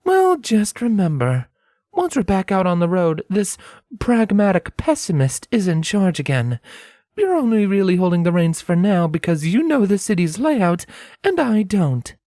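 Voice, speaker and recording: A cautious, protective pony mare, acted out by a man, speaks in a raised, high voice. She is wry and pragmatic, choosing plain, slightly formal words in a measured way, as in a dramatized storybook. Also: sing-song, dark tone, no echo, clean studio sound. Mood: wry, amused.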